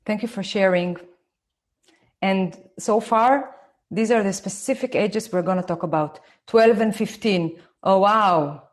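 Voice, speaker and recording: A woman in her forties from Israel with an Israeli accent, speaking slowly and carefully as she gives a live online talk to parents. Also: mid-range voice. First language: Hebrew. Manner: slow, deliberate, and careful for her listeners. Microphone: phone.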